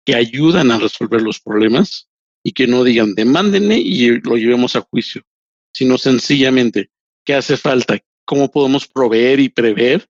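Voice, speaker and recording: A man, a human rights lawyer and professor whose first language is not Spanish, answering interview questions in slow, deliberate speech with many restarts. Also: mid-range voice, no room sound, highs cut off.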